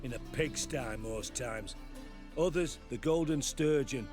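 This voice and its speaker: A man plays a surly port sailor from a fantasy game. His mid-pitched voice is curt and full of scorn, with music clearly behind it. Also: a little slow, lightly acted. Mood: scornful. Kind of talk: video game character acting.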